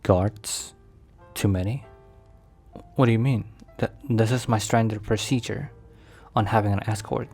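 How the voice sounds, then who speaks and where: A man playing an overprotective mafia boss boyfriend in an ASMR roleplay, speaking formally with measured, halting, slightly stilted phrasing. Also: fairly low pitch, steady tone, a little mellow, soft background music. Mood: matter-of-fact, defensive.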